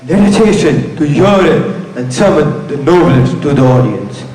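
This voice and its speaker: A man's voice: fairly high pitch, expressive, moderate pace, mellow, faint music behind, close to an everyday voice. A male actor playing a formal, commanding prince in stage Shakespeare, recorded from far off in an echoey hall. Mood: grave, commanding. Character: stately young conquering prince, formal and commanding.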